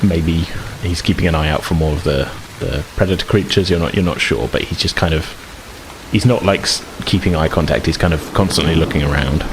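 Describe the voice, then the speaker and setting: A man running a tabletop roleplaying game as game master, improvising narration and haltingly repeating words as he thinks. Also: rumbling low. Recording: faint rain ambience noise.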